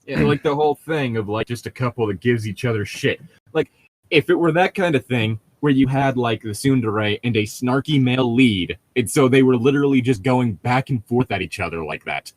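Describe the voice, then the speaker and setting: A man's voice: medium pitch, brisk. A man in casual group chat, rambling and sardonic, with frequent swearing.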